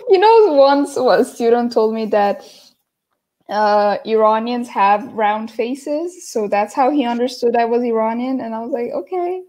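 A woman's voice: on the high side, animated, unhurried, dark tone. A young woman from the United States, an English teacher chatting casually on a home video call, friendly and laughing often. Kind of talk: casual livestream lesson chat. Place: small room at home, indoors. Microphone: laptop mic on a video call.

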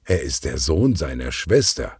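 A man's voice: very deep voice, big pitch swings, measured pace, a little mellow, slightly rough, dry recording, clean studio sound, voice pushed down, full character voice. A man voicing a frustrated, mocking policeman and father in a children's audio story.

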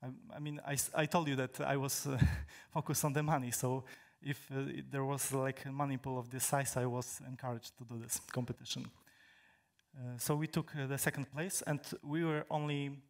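A young man from Poland, a native Polish speaker, giving a technical talk on stage in a large hall, halting with many fillers and restarts. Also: mid-range voice, little pitch movement. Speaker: conference speaker.

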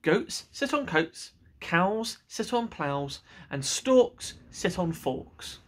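A bossy cat from a picture book, acted out by a man. The delivery is emphatic and insistent, slowly drawn out with a lively, swooping pitch. It sounds stubborn and sure of its rules. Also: full character voice. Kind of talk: children's picture book read aloud.

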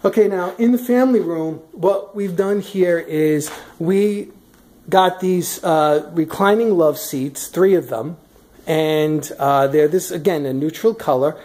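A woman's voice: on the low side, unhurried, dark tone. Chatty woman touring her home. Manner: chatty, many fillers and restarts. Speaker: vlogger giving a house tour. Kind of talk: casual walk-through narration. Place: in a large furnished house, walking room to room. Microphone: handheld camera, speaker off screen.

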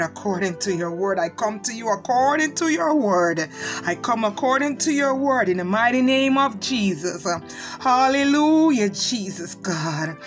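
A woman's voice: fairly high pitch, fairly bright tone. A woman leading fervent spoken prayer, reciting scripture and pleading with repeated exclamations of praise. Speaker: prayer leader.

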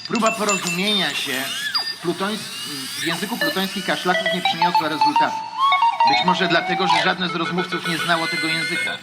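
Man as a high-pitched mock-solemn radio play narrator. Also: a little fast, lightly acted. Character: mock-solemn male narrator, politely interrupting, pedantic. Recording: loud music behind the voice.

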